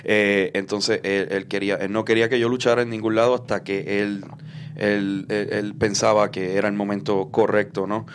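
A man's voice: on the low side, little pitch movement, moderate pace, slight background noise. A young man from Puerto Rico with a Puerto Rican accent, a guest chatting casually in an interview and slipping English words into his Spanish. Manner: mixes English words into Spanish, many fillers. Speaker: guest wrestler.

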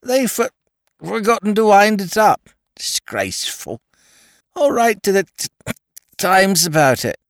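A man's voice: high voice, big pitch swings, a little slow, pauses often, no echo, clean studio sound, voice pushed up, full character voice. A man voicing a drunk aristocratic young Englishman, slurring and rambling cheerfully. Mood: indignant.

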